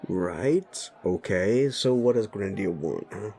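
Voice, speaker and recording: A man voicing a brash werewolf bully boss in an audio roleplay, gravelly, swaggering and blunt, spoiling for a fight. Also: low-pitched, animated, slow, warm tone, full character voice. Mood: gruff, impatient.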